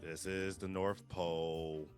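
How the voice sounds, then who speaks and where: A man's voice: low voice, level tone, slow, faint music behind. A man acting a hard-ass, grumpy department store manager at a table read of a comedy script, curt, bossy and exasperated. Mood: flat, irritated.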